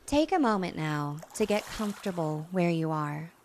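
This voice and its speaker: A woman hypnotist gently narrating a guided relaxation, soothing and unhurried, with long pauses between phrases. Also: on the low side, very animated, a little hiss or hum.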